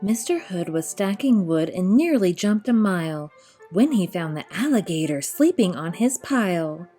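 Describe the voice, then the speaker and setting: A woman storyteller reading a rhyming children's picture book aloud, expressively, in measured rhythmic verse. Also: fairly low pitch, sing-song, dark tone, faint music behind.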